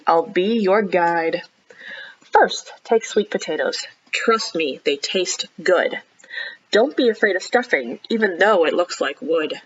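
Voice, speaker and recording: A woman children's librarian reading a picture book aloud expressively, with animated, encouraging chatty asides to young listeners. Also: mid-range voice, dull audio, slight background noise. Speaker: children's librarian hosting storytime.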